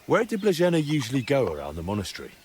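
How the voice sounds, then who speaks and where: A man's voice: medium pitch, huge pitch swings, rapid-fire. A man playing an earnest young investigator in a medieval video game drama, direct and questioning, reassuring but pressing. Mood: inquisitive. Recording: clear background ambient noise.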